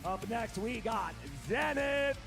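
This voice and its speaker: A man working as a tournament stage announcer, rallying a crowd and shouting out drawn-out names. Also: extremely high pitch, creaky. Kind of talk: hype introductions to a live audience. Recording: loud music over the voice. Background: loud music.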